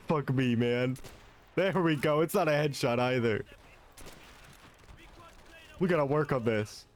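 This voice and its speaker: Young man, lively swooping voice, casual game stream, self-mocking, swearing. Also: on the high side, takes it slowly, pauses often. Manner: self-mocking, swearing, laughing between shots. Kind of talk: casual gameplay commentary.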